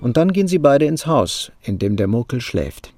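A male radio drama narrator reads literary prose. His pitch moves in a lively way at a medium register, with music clearly playing behind him. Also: normal speed. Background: prominent music.

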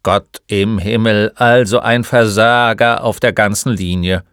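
Man voicing a gruff, loud, mocking old shopkeeper. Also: fairly low pitch, fairly even intonation, a little slow, dry recording, studio quality. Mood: scornful. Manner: grumbling, blunt, interrogating. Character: gruff, mocking old male bookshop keeper. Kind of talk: storybook character voice.

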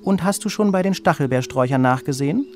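A man voicing a gentle, encouraging mother with a coaxing manner in a children's story. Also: on the high side, lively intonation, fast, soft background music, voice pushed up, natural voice.

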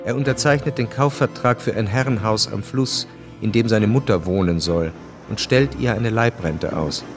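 A man's voice: lowish voice, normal speed, lightly acted. Man as sober chronicler over music. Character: sober male chronicler of historical events. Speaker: narrator of a radio drama. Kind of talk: scripted historical narration in present tense.

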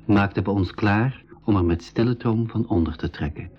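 An actor playing the narrator who recalls an adventure, telling it in scripted storytelling style with a Flemish accent. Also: male voice, fairly low pitch, normal speed, lightly acted. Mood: measured storytelling. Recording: dull 1980s radio recording.

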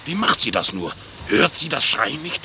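An actor playing a naive, good-natured pet dog in a German radio play, excitable and full of wide-eyed wonder. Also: male voice, medium pitch, normal speed, vocal fry, noisy background. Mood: agitated.

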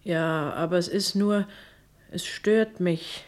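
Older pious housemaid, lowish voice, really slow and subdued, german radio play. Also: female voice. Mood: hesitant. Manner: plain, hesitant, subdued. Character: older, devout long-serving housemaid, grieving, reserved.

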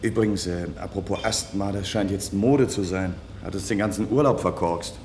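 Blunt lab colleague, low echoing voice. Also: male voice, moderate pace, darkish tone, slight background noise. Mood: confiding. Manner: blunt, colloquial. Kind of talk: naturalistic German radio play dialogue.

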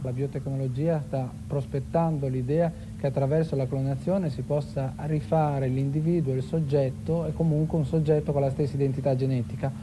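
A man's voice: medium pitch, steady tone, normal speed, few pauses. A man speaking as an expert commentator, explaining in an articulate, lecturing way. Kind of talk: explanatory interview talk. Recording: noisy background ambience.

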